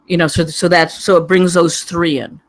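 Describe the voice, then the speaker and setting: A panelist giving digressive, halting spoken feedback on a script, wandering from point to point. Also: medium pitch, level tone, a little hiss or hum. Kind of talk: rambling spoken critique.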